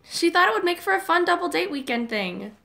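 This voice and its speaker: A woman playing an upbeat, persuasive high school girl in a casual audio drama. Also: sing-song, a little fast, gravelly, pushed and bright, exaggerated voice. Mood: eager, coaxing.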